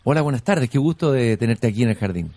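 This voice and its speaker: A man with a Chilean accent hosting an interview show, speaking in an unhurried, expressive, literary way as he introduces and questions. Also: medium pitch, no echo. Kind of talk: reflective spoken introduction and conversational interviewing.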